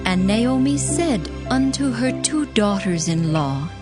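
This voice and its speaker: A woman narrator reading scripted scripture in a measured, solemn manner. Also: mid-range voice, sing-song, music in the background.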